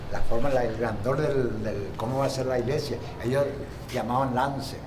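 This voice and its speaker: Older man from Paraguay, halting storyteller, mid-pitched, with clear outdoor noise behind. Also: fairly even intonation. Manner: rambling, halting, reminiscing. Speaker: local guide and interviewee. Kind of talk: casual storytelling interview.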